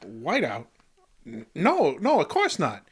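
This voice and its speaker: A punch-drunk, folksy janitor in an old-time radio comedy. His pitch swoops around, and he nervously repeats the tail ends of his phrases. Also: wildly swooping pitch, slow, husky, heavily acted. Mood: defensive, evasive. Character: punch-drunk male custodian, folksy and jittery.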